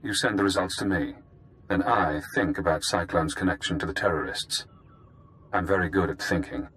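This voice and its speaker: An actor playing a cold, brilliant intelligence informant in a video game, speaking in deliberate, clipped, menacingly calm phrases. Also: male voice, low-pitched, slight background noise. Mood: calm, probing.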